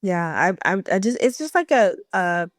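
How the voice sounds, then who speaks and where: A woman's voice: fairly low pitch, very animated, normal speed, dry recording, clean studio sound. A woman in her thirties, an esthetician, talking casually on a podcast in an encouraging way, with a light stutter and many fillers.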